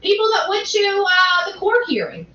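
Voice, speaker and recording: A woman giving rambling livestream commentary, halting and repeating herself for emphasis. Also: very high-pitched, big pitch swings, bright, forceful tone. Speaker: online commentator. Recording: roomy, muffled, faint background noise.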